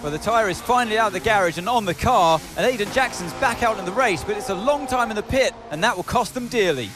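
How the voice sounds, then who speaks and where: A man's voice: high-pitched, animated, fast. A man playing a keyed-up co-commentator calling motor racing in a video game. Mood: tense, excited. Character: keyed-up male race co-commentator. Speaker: race co-commentator.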